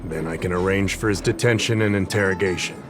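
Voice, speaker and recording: An actor playing an affable, easygoing investigative official in a video game, conversational and explanatory. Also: male voice, low-pitched, fast, music in the background, close to an everyday voice. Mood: explaining. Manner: conversational, slightly absent-minded, explanatory. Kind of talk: video game character acting.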